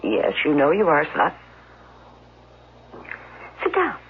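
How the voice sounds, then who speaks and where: Nervous mom keeping calm, hesitant, old radio drama, thin muffled sound. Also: female voice, sits low, takes it slowly, a little hiss or hum, exaggerated voice. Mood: guarded, fearful. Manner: careful, hesitant, placating. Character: frightened mother straining to appear calm. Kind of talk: 1970s radio drama acting.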